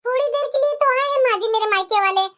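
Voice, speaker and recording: A woman playing a bossy, entitled young daughter-in-law in a family drama, demanding and sharp. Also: extremely high pitch, racing. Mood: sweetly demanding. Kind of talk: Hindi family drama story dialogue.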